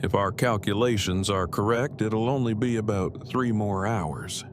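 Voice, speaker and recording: An earnest, bookish husband acted by a man in an audio drama, with a lowish voice and very precise, elaborate phrasing. There is clear noise on the recording. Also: a little slow, natural voice. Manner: formal, wordy, precise phrasing. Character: verbose, earnest, bookish husband on a road trip.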